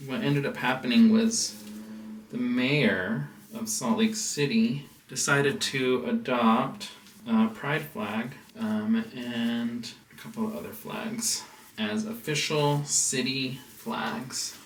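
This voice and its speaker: An American man telling a personal story as a casual vlog host, in a rambling, halting way. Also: mid-range voice, steady tone. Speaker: house music producer and DJ hosting a vlog. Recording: roomy, distant mic with background noise.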